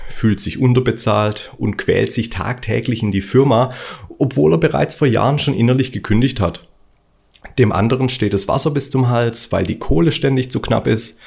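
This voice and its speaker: A man's voice: mid-range voice, vocal fry, darkish tone, slightly rough. A man from Stuttgart with a Swabian accent, hosting his own motivational podcast, talking bluntly and colloquially in a preachy solo monologue. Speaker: podcast host.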